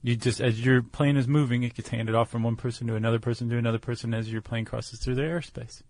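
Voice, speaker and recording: Male podcast co-host, creaky voice, casual explainer tone, very dry close sound. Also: mid-range voice, fairly even intonation, fast, a little mellow, no echo. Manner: informal, conversational, explains with loose analogies.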